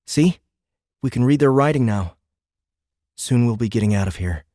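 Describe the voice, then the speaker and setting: A man's voice: medium pitch, expressive, normal speed, mellow, no echo, studio quality, voice pushed up, lightly acted. A man voicing a determined, cocky teenage boy with a casual, defiant manner in a science fiction audiobook. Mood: excited. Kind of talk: sci-fi audiobook character dialogue.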